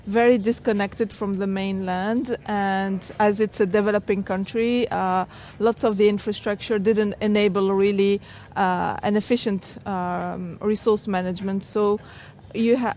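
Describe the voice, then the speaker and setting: A woman's voice: mid-range voice, darkish tone. A woman from Tunisia speaking as an interviewed guest, giving a conversational personal account on stage. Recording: live event with clear background noise.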